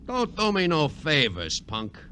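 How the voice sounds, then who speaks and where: Blustering, sharp-voiced tough ex-con. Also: male voice, on the high side, huge pitch swings, unhurried, exaggerated voice. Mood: indignant. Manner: blustering, tough-guy street talk. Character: gruff, touchy middle-aged ex-con safecracker playing Santa. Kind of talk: 1950s radio drama acting. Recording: noisy old radio recording.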